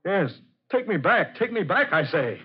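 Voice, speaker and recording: Terse, dramatic treasure hunter. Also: male voice, sits high, sing-song, normal speed, slightly rough, exaggerated voice. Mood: pleading. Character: bossy, determined male treasure hunter, haunted by an ancestor. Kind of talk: 1940s radio horror acting. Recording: muffled vintage radio recording with loud music.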